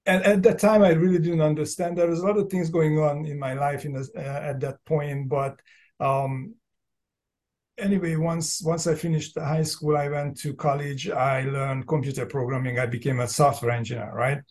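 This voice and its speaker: A man in his fifties from Hungary, a native Hungarian speaker with a Hungarian accent, talking casually and haltingly as an interview guest. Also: a little high, flat delivery, a little mellow. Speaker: podcast guest, entrepreneur and business coach. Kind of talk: casual personal storytelling in an interview.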